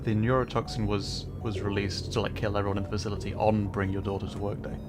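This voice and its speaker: A man chatting casually as he streams a game, musing in a halting way and often trailing off. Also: on the low side, little pitch movement. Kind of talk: casual livestream chat while gaming. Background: game music.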